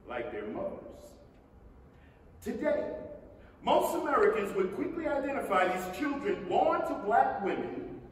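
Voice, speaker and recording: A man reads historical nonfiction from a stage in a high, animated voice. His phrasing is measured and emphatic. He sounds distant, in a very reverberant theatre. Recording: echoey theatre hall from far off, faint noise.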